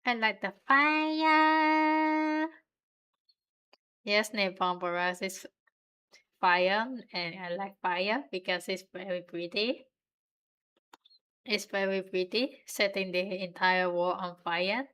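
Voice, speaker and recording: A young woman with a Vietnamese accent chats with her viewers while drawing on stream. She talks slowly in a bright, very clear voice. She giggles often and stays relaxed and easygoing. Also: mid-range voice.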